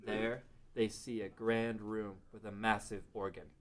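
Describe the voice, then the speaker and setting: A man's voice: on the low side, little pitch movement, measured pace. A man narrating a scripted fantasy story as the narrator of a table read.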